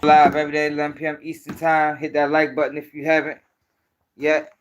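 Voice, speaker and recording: A man's voice: on the high side, monotone, a little fast. A man with a Baltimore accent hosting a casual livestream, talking to viewers in loose, profane street slang. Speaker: host of a commentary channel.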